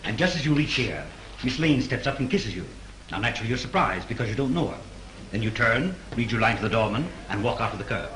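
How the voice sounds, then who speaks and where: An actor playing a fussy film director who complains quickly about his reputation, in an old 1930s film recording. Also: mid-range voice, animated, reverberant, close to an everyday voice. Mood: fretful. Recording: noisy 1930s film soundtrack.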